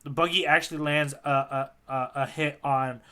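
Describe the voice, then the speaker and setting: A man with a bright, forward voice that sits a little high. He co-hosts a podcast, recapping a story in loose, enthusiastic conversation. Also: steady tone, moderate pace. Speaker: podcast co-host. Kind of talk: casual chat recapping a story.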